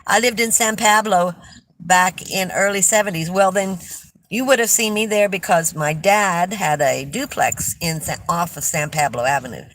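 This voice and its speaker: An older woman from California, hosting a casual livestream, chatting with viewers and telling stories in a loud voice. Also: fairly low pitch, normal speed, slight background noise. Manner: chatty, warm storytelling with restarts. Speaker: livestream host. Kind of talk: casual livestream chat reading viewer comments.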